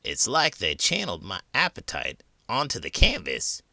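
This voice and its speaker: A man's voice: sits low, wildly swooping pitch, moderate pace, creaky, bright, forceful tone, dry recording, studio quality, exaggerated voice. A man voicing a hungry wild creature sidekick in a children's show, theatrical and self-absorbed. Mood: dreamy self-admiration.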